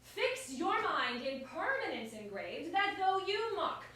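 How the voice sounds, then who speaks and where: A woman's voice: extremely high pitch, very animated, takes it slowly, sharp tone, heavily acted. A woman playing a witty, defiant common-born representative in a staged verse comedy, with haughty, biting theatrical delivery. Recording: echoey room, far mic, faint noise.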